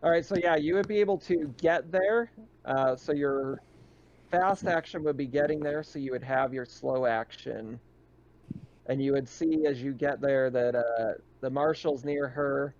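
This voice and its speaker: A man works as game master for a tabletop roleplaying session. His pitch is ordinary and his delivery conversational, describing scenes directly to the players, on slightly muffled audio. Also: unhurried, a little mellow. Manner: conversational, narrates in second person.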